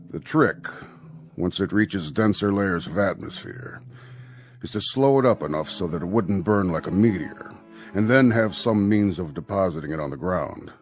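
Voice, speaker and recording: An actor playing a stern Air Force general in a radio drama, speaking in a deliberate, formal, official manner. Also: male voice, low-pitched. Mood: firm, dismissive.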